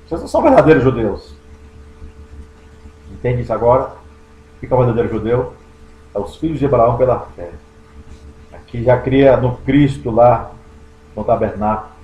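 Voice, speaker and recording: A Brazilian pastor reading scripture aloud and explaining it verse by verse, stressing key words and stretching some out slowly. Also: male voice, mid-range voice, darkish tone. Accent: Brazilian Portuguese. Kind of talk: Bible study sermon. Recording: echoey room.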